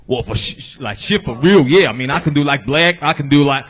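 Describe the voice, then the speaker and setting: Young london guy, kinda shy, chill, calls everyone mate, voice a bit high. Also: expressive, brisk, a bit bright, a little hiss or hum. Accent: London English. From London, England. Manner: laid-back, bashful, says mate a lot. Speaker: first-time stand-up guest. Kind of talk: casual on-stage chat.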